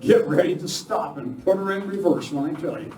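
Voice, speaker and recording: A man's voice: a little high, expressive, brisk, dark tone, close to an everyday voice. A man playing an earnest, alert western defender on the lookout in an amateur radio play. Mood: urgent, steady. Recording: echoey hall, distant mic, noisy.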